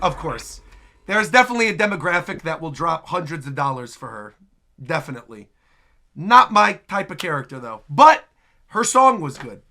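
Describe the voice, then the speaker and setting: A man giving casual, excited reaction commentary as a YouTuber, animated and slangy with lots of exclaiming. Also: sits high. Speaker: YouTuber reacting to game trailers.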